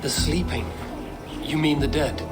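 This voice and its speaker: An actor playing a blunt Norse warrior who speaks in a measured, probing way. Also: male voice, on the high side, over loud music, lightly acted. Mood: curt. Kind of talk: video game cutscene.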